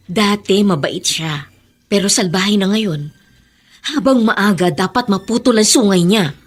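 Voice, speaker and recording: An actress playing a frank, protective younger relative in a radio drama. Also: female voice, medium pitch, unhurried, husky. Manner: frank and earnest. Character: caring younger cousin, outspoken and protective.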